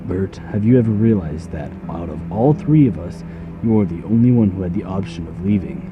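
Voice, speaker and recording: A man playing an earnest, protective young soldier in an audio drama, measured and confiding. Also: sits low, expressive, phone-quality sound. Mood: earnest, firm. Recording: music playing behind the voice.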